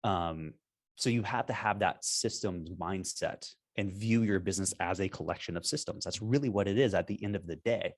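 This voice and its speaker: A man, a company founder, talking in a casual business interview over a video call. He is energetic and emphatic and keeps checking in with "right?". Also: sits low, moderate pace. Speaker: software company founder, guest.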